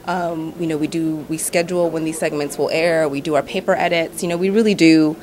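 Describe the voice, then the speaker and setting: Low-voiced chatty woman in her thirties. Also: brisk. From Canada, Nova Scotia. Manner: relaxed, chatty, frequent "you know" and "um" fillers. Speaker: TV host, interviewee. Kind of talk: casual interview answers.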